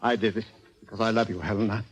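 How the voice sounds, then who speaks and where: An actor playing a lovesick, jealous professor turned murderer in a 1940s radio drama, melodramatic and impassioned. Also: male voice, fairly low pitch, steady tone, quick pace, husky. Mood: anguished confession. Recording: 1940s radio broadcast recording.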